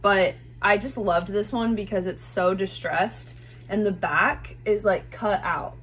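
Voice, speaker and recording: A woman's voice: mid-range voice, measured pace, fairly bright tone, husky. Chatty young woman gushing over finds. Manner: chatty, gushing, many "like" fillers. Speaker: fashion vlogger. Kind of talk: casual thrift haul talk to camera. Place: small bedroom with bed, indoors.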